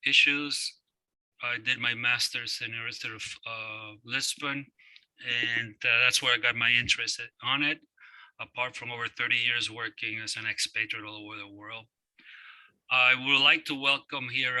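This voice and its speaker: A man's voice: medium pitch, pushed and bright, no room sound, low-quality recording. A man originally from Cuba introducing a speaker at a webinar over a video call, hesitant with many fillers. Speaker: event chairperson.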